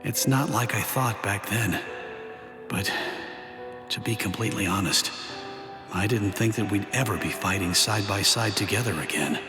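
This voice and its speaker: An actor playing a brash, hot-tempered young swordsman in an anime game dub, talking bluntly and gruffly. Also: fairly low pitch, normal speed, long pauses, music in the background, natural voice. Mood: awkward, subdued.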